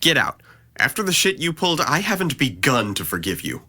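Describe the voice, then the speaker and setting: A man's voice: medium pitch, sing-song, brisk, bright, forceful tone, heavily acted. A man playing a depressed, self-critical young nephew in an audio drama. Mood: angry.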